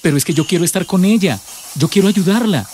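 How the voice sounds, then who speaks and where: An actor playing an earnest, worried young boyfriend in a radio drama. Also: male voice, high-pitched, animated, fast, darkish tone, a little hiss or hum. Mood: pleading. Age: young adult.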